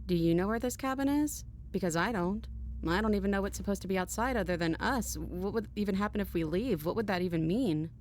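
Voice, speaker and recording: A woman playing a sincere, wry young princess in a video game, talking in a soft, conversational way. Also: mid-range voice, fairly quick, a little hiss or hum, natural voice. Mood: uncertain. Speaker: game character.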